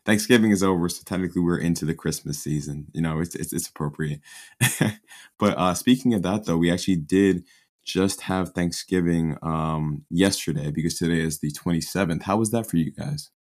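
A young man hosting a casual podcast interview, relaxed and quick to laugh. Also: low voice. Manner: relaxed, laughs often, easygoing. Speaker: podcast host.

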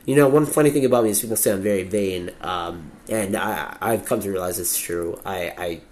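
A man in his twenties from Montreal, hosting a solo podcast in a candid, rambling way, swearing freely and filling pauses, a little sniffly with a cold. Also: low voice, a little mellow, slight background noise. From Montreal, Canada. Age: 20s. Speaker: podcast host. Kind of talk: casual solo podcast monologue.

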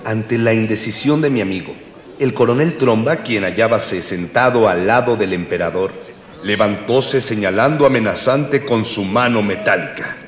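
An actor playing a florid, mock-solemn storyteller, narrating a scripted radio drama in archaic phrasing. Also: male voice, fairly low pitch, normal speed, lightly acted. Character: mock-literary male storyteller, hero's friend. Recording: echoey hall reverb.

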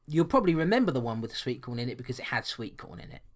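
Animated man on a podcast. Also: mid-range voice, rapid-fire. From England, London. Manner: laughing, animated, self-deprecating banter. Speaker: podcast co-host. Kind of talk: casual chat, reading listener email.

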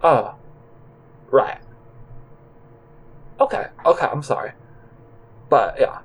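A man's voice: medium pitch, sing-song, takes it slowly, slightly rough. A man narrating a comic audio drama in the first person, with a rambling, awkward, conversational manner.

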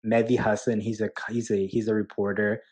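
A man in his twenties answering casually for a podcast, relaxed and hesitant with fillers. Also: lowish voice, fairly quick, slightly rough. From United States. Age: 20s. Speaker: podcast guest.

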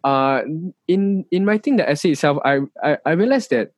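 A man's voice: a little high, lively intonation, moderate pace, no echo, studio quality. A young man with a Singaporean English accent, talking as an interview guest in a hesitant way, with frequent restarts and fillers. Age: young adult. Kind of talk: casual interview chat.

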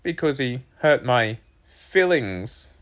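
Narrator doing a whiny storybook kid, higher voice, sarcastic, self-conscious, always complaining. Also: lively intonation, slow pace, fairly bright tone. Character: self-conscious, sarcastic schoolboy in dental headgear.

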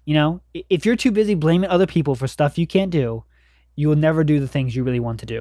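A man's voice: fairly high pitch, darkish tone, no room sound, studio quality. A man hosting a solo podcast, giving a rambling, earnest pep talk that keeps restarting its sentences. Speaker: podcast host.

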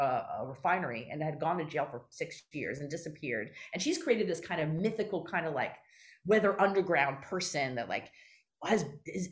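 A woman speaks as a guest in an interview, her voice sitting a little lower than average. She is effusive and emphatic, wandering from one tangent into the next in animated conversation.

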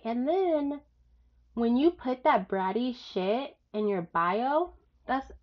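A young woman with a stuffed-up nose from a cold, giving blunt dating advice in a frank, animated way, with sudden loud rises and some swearing. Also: mid-range voice, highs cut off. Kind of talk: casual advice monologue.

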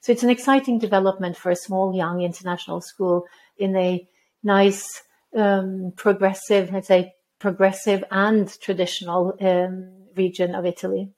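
A woman in her fifties from Norway, a head of school answering interview questions in a measured way, with frequent ums and restarts. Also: medium pitch, flat delivery, a little mellow.

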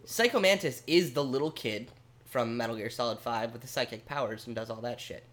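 Brief: male podcast co-host, mid-pitched, rambling and animated, swears casually. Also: a little fast. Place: home basement studio.

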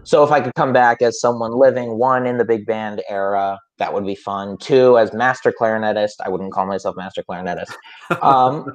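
A man's voice: lowish voice, fairly even intonation, brisk. A man who teaches music, chatting casually on a podcast with a slightly nasal voice and a hesitant, rambling way of talking full of fillers and restarts.

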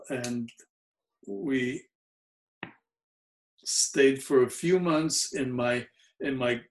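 Slow, mellow older man, New York accent. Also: medium pitch, low-quality recording. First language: Yiddish. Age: 70s. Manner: mellow, unhurried, halting with frequent fillers. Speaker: interviewee. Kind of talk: oral history recollection.

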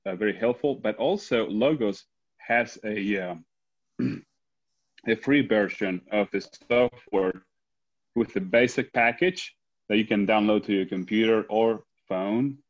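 A low-pitched man with an Argentine Spanish accent, speaking English as a second language. He talks haltingly in a casual class discussion, and the audio sounds muffled and lo-fi, as over a video call. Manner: hesitant, many ums. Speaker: class participant.

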